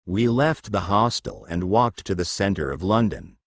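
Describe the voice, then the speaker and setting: A deep-voiced man narrates a children's story for learners. He reads in a slow, measured way, with lively swings of intonation. The sound is dry and close. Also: no room sound.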